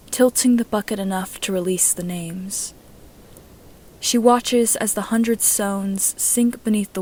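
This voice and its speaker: Female amateur narrator for audio fiction, mid-pitched, reading scripted prose. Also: a little mellow, rough recording. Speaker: amateur podfic narrator reading own story.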